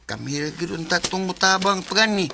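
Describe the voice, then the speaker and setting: A man's voice: high voice, fairly quick, gravelly, noisy background. An actor playing Lotlot, a cheerful village youth who jokes with his friends in a radio drama. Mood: friendly. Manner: friendly and persuasive, bantering.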